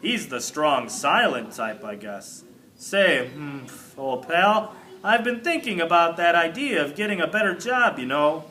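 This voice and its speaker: A man's voice: a little high, sing-song, unhurried, sharp tone, heavily acted. A man voicing a good-natured, somewhat grand male night janitor in a children's storybook. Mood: musing. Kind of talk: storybook character reading.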